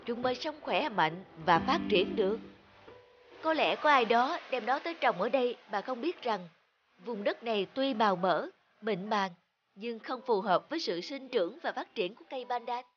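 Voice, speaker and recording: An actor playing a knowledgeable professor in a children's cartoon fable, explaining in a measured, thoughtful way. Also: mid-range voice, lively intonation, highs cut off, a little hiss or hum, natural voice. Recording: music behind the voice.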